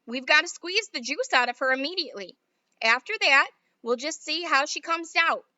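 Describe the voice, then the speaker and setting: A woman's voice: high voice, expressive, moderate pace, sharp tone, no echo, lo-fi sound, voice pushed up, heavily acted. A woman reading a story aloud and voicing an eccentric male inventor who sighs and speaks matter-of-factly. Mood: brisk. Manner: sighing, mild and matter-of-fact.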